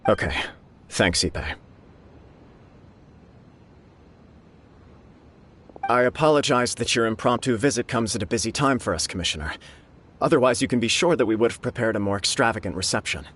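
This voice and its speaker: An actor playing a dutiful young samurai clan head in a video game, formal, modest and earnest. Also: male voice, medium pitch, animated, fast, fairly bright tone, a little hiss or hum, lightly acted. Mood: apologetic, formal. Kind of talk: scripted video game dialogue.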